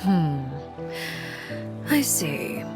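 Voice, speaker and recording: Game villainess type: lowish voice, icy composure, commanding, formal phrasing, music underneath. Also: female voice, very animated, drawn-out words, exaggerated voice. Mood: calm, calculating. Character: cool, commanding, secretive woman leader of an orphanage organization. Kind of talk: anime game voice acting. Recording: music behind the voice.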